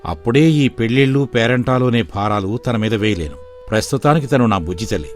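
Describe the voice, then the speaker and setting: A gentle village father with an even, middle-pitched voice, as a man voices him. He speaks calmly and tenderly, with a protective, cautious manner. Light music runs underneath. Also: brisk, close to an everyday voice. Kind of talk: animated storybook voice acting.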